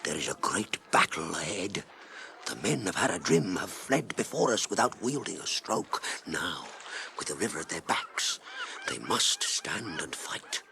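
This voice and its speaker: A male actor playing a stern, battle-minded warrior with a gravelly voice and grave, emphatic delivery in a 1980s radio drama. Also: low voice, big pitch swings, a little slow, background noise, exaggerated voice.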